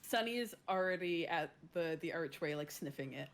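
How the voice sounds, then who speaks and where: A woman's voice: lowish voice, lively intonation, moderate pace, very noisy. A woman playing a tabletop roleplaying game, casually describing her character's actions in an informal, playful way.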